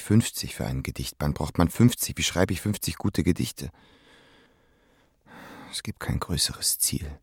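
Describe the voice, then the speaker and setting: An actor playing a brooding young would-be poet in a German radio drama, with a gravelly voice. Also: male voice, rumbling low, no room sound, studio quality, full character voice. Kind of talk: radio drama acting with inner monologue.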